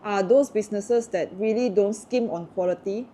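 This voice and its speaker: A woman's voice: medium pitch, moderate pace, warm tone, slight background noise. A woman from Malaysia with a Malaysian English accent, chatting casually and giving strong, indignant opinions. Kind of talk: casual chat.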